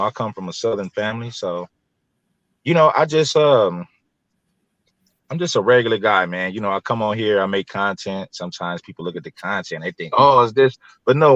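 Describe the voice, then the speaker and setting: A man's voice: lowish voice, dry recording, lo-fi sound. An American man around his late thirties from Los Angeles, talking casually as an interview guest in a rambling, colloquial way, often repeating himself. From Los Angeles, California. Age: 30s or early 40s. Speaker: YouTube content creator, guest.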